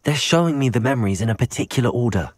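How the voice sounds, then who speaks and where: A man's voice: medium pitch, animated, very fast, no room sound, clean studio sound, natural voice. An actor playing an earnest young wizard student who reports urgent news to his elders.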